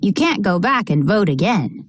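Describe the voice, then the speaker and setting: A woman reading a children's picture book aloud in a measured, expressive way, narrating and acting out short bits of dialogue. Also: medium pitch, dry recording, clean studio sound.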